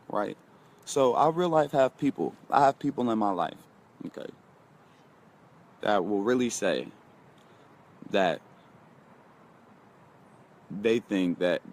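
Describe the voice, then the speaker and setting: A man in his twenties giving a casual motivational talk, emphatic, repeating himself and speaking straight to his viewers. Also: mid-range voice. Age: 20s. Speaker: motivational vlogger.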